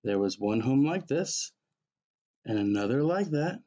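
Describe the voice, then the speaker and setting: A man's voice: medium pitch, animated, measured pace, dark tone, highs cut off. A man reading a rhyming picture book aloud as a storyteller for children.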